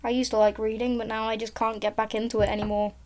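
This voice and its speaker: A woman with a high voice talks through her gameplay, chatty and wandering from one topic to the next. Her pitch sits high but stays fairly level, without much rise and fall. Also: flat delivery, fast, darkish tone, a little hiss or hum. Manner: rambling and chatty, drifting off topic. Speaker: gaming YouTuber. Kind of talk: casual commentary while playing a game.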